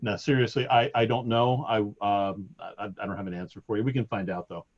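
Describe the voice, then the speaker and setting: A low-pitched man answers questions on an online webinar, hedging and joking at his own expense. His voice is loud and carries well. The audio is dull and lo-fi. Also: steady tone, a little fast. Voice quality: loud projecting voice. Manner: hesitant, self-deprecating, joking. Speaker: sports department staff.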